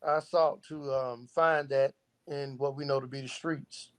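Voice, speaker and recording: A man's voice: mid-range voice, steady tone, a little slow, clear, resonant tone. A middle-aged man speaking as an interview guest in a casual, reflective way. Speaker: interview guest, founder of a youth organisation.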